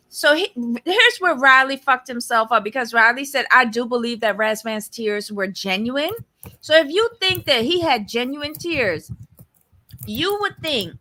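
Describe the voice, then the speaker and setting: A woman's voice: a little high, pushed and bright. A woman with a Barbadian accent, co-hosting a casual recap show and giving blunt, opinionated takes. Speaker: podcast co-host.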